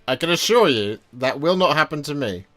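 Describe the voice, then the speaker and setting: A man's voice: fairly high pitch, animated, fairly quick, fairly bright tone, soft background music. A man in his thirties chatting casually and candidly, rambling and correcting himself as he goes. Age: 30s. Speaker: hobby streamer. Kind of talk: casual chat while painting miniatures. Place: home painting desk.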